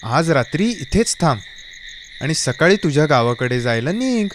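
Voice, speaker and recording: A hearty, welcoming village friend from a cartoon folk tale, voiced by a man. The voice is somewhat high and swings expressively, with a chummy tone over faint night insects. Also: big pitch swings, normal speed, a little hiss or hum. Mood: encouraging. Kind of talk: children's fairy-tale cartoon acting.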